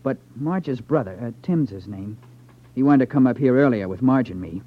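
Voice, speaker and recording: Male actor as timid young man, hesitant, earnest, average pitch, dull 1950s radio drama sound. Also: expressive, moderate pace, natural voice. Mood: nervous, earnest. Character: nervous, naive young man mixed up with gamblers.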